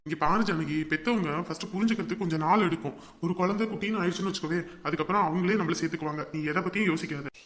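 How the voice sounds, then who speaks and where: A woman's voice: on the low side, rapid-fire, close to an everyday voice. A woman voicing a placating, pragmatic young husband in a family drama, with a soothing, reasoning manner. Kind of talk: amateur village family drama acting. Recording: echoing, reverberant recording with music.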